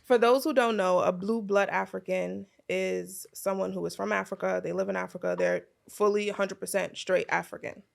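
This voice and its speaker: A woman's voice: medium pitch, steady tone, normal speed, vocal fry, few pauses. A young woman co-hosting a podcast, teasing and asking questions in casual chat. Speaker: podcast co-host.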